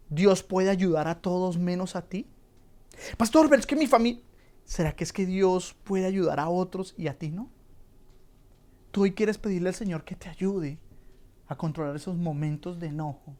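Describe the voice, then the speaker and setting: A male pastor delivering an earnest, emphatic sermon and leading a quiet prayer. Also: a little high.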